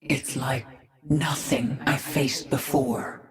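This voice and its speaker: A woman's voice: rumbling low, steady tone, slow pace, a crowd in the background. A woman playing a grim, vengeful queen of an alien swarm in a video game cutscene, terse and intense. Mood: brooding, uneasy. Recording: roomy echo with game music behind.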